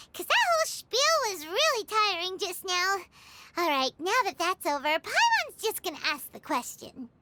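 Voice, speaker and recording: A woman's voice: extremely high pitch, background noise, heavily acted. A woman voicing a tiny, childlike, cheeky fairy companion in a video game, chatty and exclamatory. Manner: refers to self in third person, exclamatory. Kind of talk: video game character acting. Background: music.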